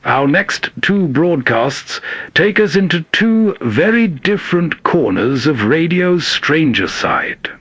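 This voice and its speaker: Soft, husky, slow male narrator. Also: fairly high pitch, very animated, no room sound, rough recording. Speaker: host and narrator. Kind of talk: calm scripted sleep-story narration.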